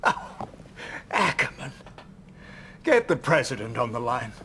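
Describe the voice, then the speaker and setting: An actor playing a middle-aged male leader who speaks in a brisk, exasperated way. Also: mid-range voice, very animated, pushed and bright, slight background noise, full character voice. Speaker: allied leader.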